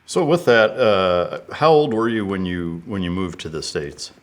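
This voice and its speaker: Male interviewer, very low voice, halting questions with frequent restarts. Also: expressive. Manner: hesitant, restarting his questions. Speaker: podcast host.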